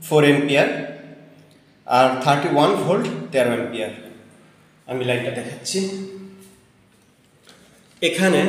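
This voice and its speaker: A Bangladeshi man speaks in a very echoey space with a long reverberant tail. His voice sits a little high for a man. He explains equipment as a presenter would, deliberately, item by item in short phrases. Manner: deliberate, itemizing in short phrases, counting aloud. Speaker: presenter demonstrating electronic equipment. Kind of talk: product demonstration, explanatory.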